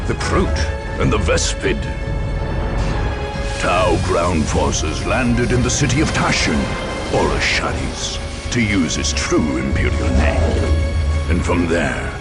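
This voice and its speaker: An actor playing a grave chronicler of grim far-future wars, narrating a game cutscene in a solemn, measured, portentous way. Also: male voice, mid-range voice. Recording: music behind the voice.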